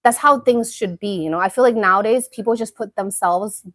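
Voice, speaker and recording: A woman's voice: medium pitch, fairly quick, fairly bright tone, dry recording, studio quality. A young woman from Thailand chatting casually on a live stream, answering her viewers' comments and often repeating herself. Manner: chatty, repeats words, many fillers. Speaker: YouTuber reading viewer chat.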